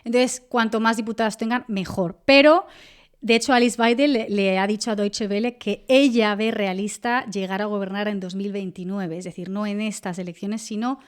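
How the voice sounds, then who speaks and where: A woman with a Castilian Spanish accent and a smooth, clean voice at an average pitch. She speaks as an expert guest in a podcast interview. Her delivery is conversational and articulate, laying out explanations in detail. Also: steady tone, normal speed. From Spain, La Mancha. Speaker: guest journalist and political analyst.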